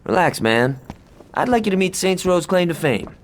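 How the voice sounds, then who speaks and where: An actor playing a cocky, hot-tempered young gang lieutenant in a video game, brash and sarcastic, with a lot of swearing. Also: male voice, high voice, very animated, moderate pace, a little hiss or hum. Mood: brisk. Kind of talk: video game cutscene acting.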